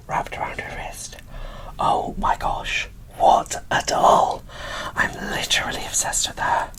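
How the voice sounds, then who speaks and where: A woman speaking in a soft, breathy whisper, showing things off with gushing enthusiasm in an ASMR style. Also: poor audio. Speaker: host of an ASMR doll unboxing channel. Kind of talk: whispered ASMR show-and-tell.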